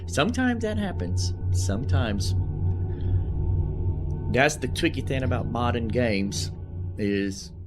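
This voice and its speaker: A man's voice: mid-range voice, sing-song, slow pace, long pauses, over music. A man live streaming a video game, commentating casually as he thinks aloud and repeats himself.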